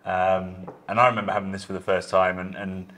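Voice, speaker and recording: A man in his thirties hosting a casual interview at a brewery taproom table, speaking in a measured way that fades softly at the ends of phrases. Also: low voice, flat delivery. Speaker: host. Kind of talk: interview chat.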